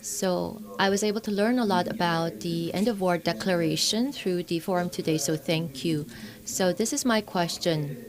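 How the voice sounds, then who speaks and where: A woman giving simultaneous interpretation at a forum, speaking in halting phrases with pauses and restarts. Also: lowish voice.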